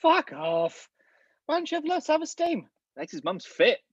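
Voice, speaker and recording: A woman reading the part of a rowdy young male friend, with a swooping, excitable manner. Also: high-pitched, moderate pace, no echo, dull audio.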